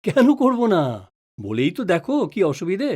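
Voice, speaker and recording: A man's voice: high-pitched, huge pitch swings, moderate pace, darkish tone, heavily acted. A man playing a patient, easily flustered middle-aged father who is wrongly accused in an audio drama. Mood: puzzled. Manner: stammers and repeats words when flustered. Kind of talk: Bengali audio drama acting.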